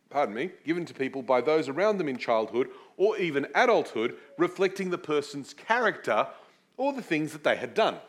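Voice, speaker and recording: A man preaching a teaching sermon. He explains scripture in a chatty way, with asides that poke fun at himself. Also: fairly high pitch. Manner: animated, swooping emphasis on key words; chatty, with self-deprecating asides. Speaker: preacher teaching a series on a Bible book. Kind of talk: sermon with Bible teaching and prayer.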